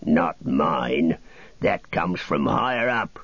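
A man's voice: deep voice, very animated, slow, creaky, fairly bright tone, no echo, lo-fi sound, heavily acted. A man reading a story, voicing a big male orc soldier who bullies and threatens. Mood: scornful.

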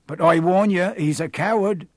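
A man voicing a gruff, fierce but big-hearted gamekeeper in an audiobook, with blunt, rustic, growling speech. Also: sits high, normal speed, no room sound, clean studio sound, voice pushed up. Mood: cautioning.

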